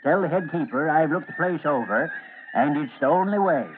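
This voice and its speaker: Man acting as cocky old circus hand, rambling, folksy, teasing, higher-set voice, tinny noisy vintage radio drama. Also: lively intonation, normal speed, natural voice. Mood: scheming, confident.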